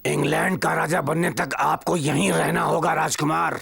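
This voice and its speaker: A man's voice: fairly high pitch, level tone, normal speed, vocal fry, slightly rough, dry recording, heavily acted. A man playing a cold, scheming baron in a cartoon, speaking with menacing, mocking courtesy. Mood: mock-polite menace. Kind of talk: animated cartoon dub acting. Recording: loud music bed under the voice.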